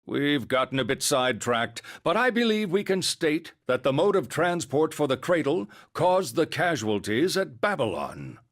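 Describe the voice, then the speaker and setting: A man voicing an erudite, composed scientist in a video game, explaining things in a formal, measured, lecturing way. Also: on the high side, sing-song. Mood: composed, matter-of-fact. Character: calm, erudite male scientist lecturing on grave theories. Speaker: character in a video game story scene. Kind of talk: dramatic game voice acting, expository lecturing. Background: music.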